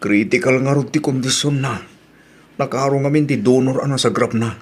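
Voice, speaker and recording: A man's voice: medium pitch, fairly even intonation, moderate pace, slightly rough, lightly acted. An actor playing an earnest working man in an audio drama, speaking sincerely and plainly.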